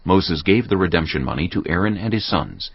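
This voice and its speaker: A man with a gravelly voice narrating scripture from a script in a solemn, measured, formal manner. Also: low-pitched, animated, no room sound. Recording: slightly muffled, music bed behind.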